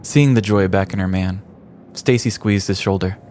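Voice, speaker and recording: A man's voice: low voice, normal speed, a little mellow. A man hosting a podcast, narrating a scripted comic story in a dramatic, ornate, mock-serious way. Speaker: podcast host. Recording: music bed behind the voice. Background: background music.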